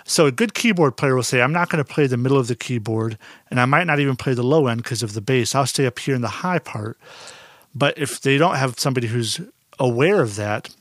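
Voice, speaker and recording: Dark-voiced man explaining sound mixing. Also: medium pitch, no echo, studio quality. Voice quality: naturally dark voice. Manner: relaxed, conversational, frequent fillers and restarts. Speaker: audio instructor. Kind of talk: casual explanatory lesson.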